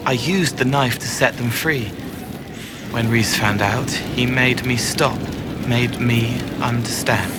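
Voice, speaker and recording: An actor playing a haunted, gentle young male spirit in a fantasy video game, speaking in halting, poetic fragments. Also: mid-range voice, lightly acted. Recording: game audio with noisy ambience behind.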